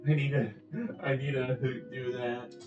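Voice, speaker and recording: A woman's voice: rumbling low, little pitch movement, a little slow. A woman giving casual, blunt reaction commentary as a game streamer, often hesitating and drawing out words. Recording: echoey, distant, dull audio over faint music.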